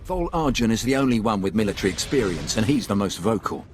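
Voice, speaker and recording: An animated, blunt military commander. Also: male voice, lowish voice, fast, background noise. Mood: confident, persuasive. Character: tough, confident male Imperial special-forces commander, gruffly determined. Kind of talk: video game voice acting.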